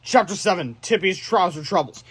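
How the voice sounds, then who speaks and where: Man reading a kids book out loud, kinda high voice, animated, trips over words, very dry sound. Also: normal speed, husky, no room sound. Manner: animated, stumbles and self-corrects. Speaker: storybook reader. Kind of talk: children's book read-aloud narration.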